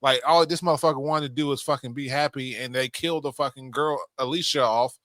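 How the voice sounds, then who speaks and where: A man's voice: mid-range voice, brisk, fairly bright tone, no room sound, clean studio sound. A man co-hosting a podcast, giving blunt, emphatic opinions in casual, profanity-laced chat. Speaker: podcast co-host.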